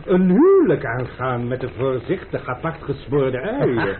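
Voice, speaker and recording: A grave, suspicious manservant talks with deferential, deadpan earnestness. His pitch moves widely, and the old radio recording is thin and tinny with faint hiss. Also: unhurried. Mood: solemn, earnest. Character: solemn, suspicious manservant, earnest and devoted gourmet cook. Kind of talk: old radio drama acting.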